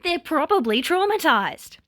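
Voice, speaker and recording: A woman's voice: high voice, sing-song, unhurried, a bit bright, no room sound, clean studio sound, pitched above the performer's own voice, full character voice. A woman voicing a composed young woman held hostage, a protective big sister, polite but firm with emotion held back. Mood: defiant. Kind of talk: dramatic fan fiction reading.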